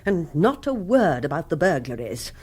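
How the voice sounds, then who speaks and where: Radio comedy: grand, affected village social queen, upper-class English, very animated, slightly husky. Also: deep voice, normal speed, full character voice. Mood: imperious, scheming. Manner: theatrical, affected, sprinkles speech with Italian endearments. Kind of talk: radio comedy drama acting.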